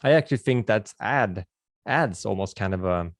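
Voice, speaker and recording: A low-pitched man with a Swedish accent and a gravelly edge, talking in a friendly, casual way as he answers questions in a webinar. He restarts sentences and uses filler words as he goes. The recording is close and dry, with almost no room sound. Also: animated, moderate pace, no room sound. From Sweden. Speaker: videographer hosting a webinar.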